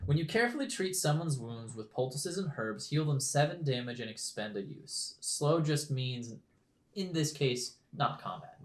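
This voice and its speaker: A young man narrating as game master of a tabletop game, in a loose, halting way full of fillers. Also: mid-range voice, expressive. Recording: echoey room.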